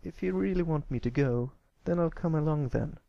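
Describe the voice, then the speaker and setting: Reluctant young pony colt, man acting, timid, stammering, somewhat higher pitch, cartoon storybook. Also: moderate pace, creaky, no echo, lo-fi sound. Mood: hesitant. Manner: hesitant, stammering.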